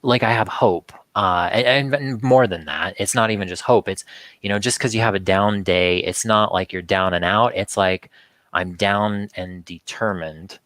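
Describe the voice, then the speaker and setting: A man chatting casually as a talk show guest, halting and often repeating words as he restarts his sentences. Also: on the low side, fairly bright tone, dry recording. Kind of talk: casual rambling chat.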